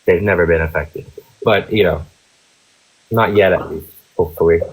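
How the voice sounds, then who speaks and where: A man calling in to a livestream for a comic roleplay, speaking in an effusive, flattering, halting way. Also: low voice, breathy edge, poor audio. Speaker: caller.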